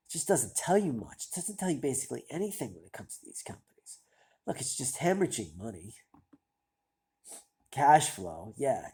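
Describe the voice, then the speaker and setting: Host, male, nasal and congested with a cold, mellow husky tone, hesitant self-mocking musing. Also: fairly high pitch. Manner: self-deprecating, hesitant, rambling. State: stuffy nose from a cold. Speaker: stock-picking channel host. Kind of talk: casual thinking-aloud commentary.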